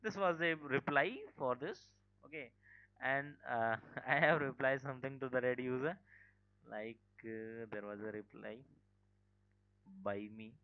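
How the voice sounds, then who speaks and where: A man's voice: medium pitch, unhurried. A man teaching a live online security class, informal, full of fillers and "okay". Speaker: cybersecurity instructor. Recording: dull lo-fi call audio.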